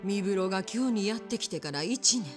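An actress playing a poised, determined innkeeper with a Kyoto accent in a period game drama, genteel, polite and deliberate. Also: female voice, mid-range voice, lively intonation, music in the background, close to an everyday voice. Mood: earnest pleading.

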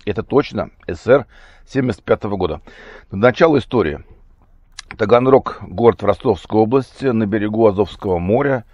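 A man talks off the cuff in a fairly low voice, slightly hoarse with a cold. His delivery is casual and halting, with corrections along the way. The sound is dry and somewhat muffled. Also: expressive, darkish tone, dry recording. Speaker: vlogger. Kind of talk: casual spoken monologue. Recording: dull, muffled lo-fi recording.

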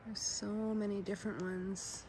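A woman's voice: mid-range voice, flat delivery, unhurried, darkish tone, a little hiss or hum. A woman from Canada narrating her vlog in a friendly, chatty way, speaking straight to her viewers. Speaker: vlogger.

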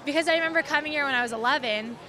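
A woman's voice: high-pitched, very fast, sharp tone. A young adult woman, a cantor, answering in a bubbly way into an interviewer's handheld mic on a crowded arena floor. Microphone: handheld mic held by interviewer. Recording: crowd chatter behind.

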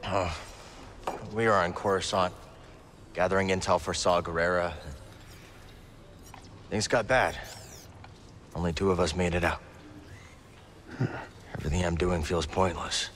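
A young rebel in a video game. His voice is low and understated, terse and dryly weary, with faint noise behind it. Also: fairly even intonation, measured pace, pauses often, a bit bright. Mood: weary, downcast. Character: weary, guarded young male rebel fighter. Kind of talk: video game cutscene acting.

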